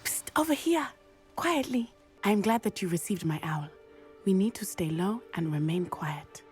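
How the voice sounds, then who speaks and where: A woman's voice: mid-range voice, animated, moderate pace, darkish tone, soft background music, lightly acted. Woman plays brave teenage witch, sincere and careful, mid-range voice, game cutscene with score underneath. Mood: hushed, wary. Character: earnest, daring teenage schoolgirl witch sneaking after villains. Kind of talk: video game cutscene acting.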